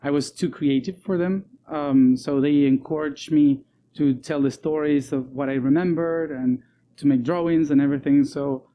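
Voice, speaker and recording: Soft-spoken man. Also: a little high, fairly even intonation, muffled recording, slight background noise. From Argentina. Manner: soft-spoken, laughs often, many um and uh pauses. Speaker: guest. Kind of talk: casual interview talk.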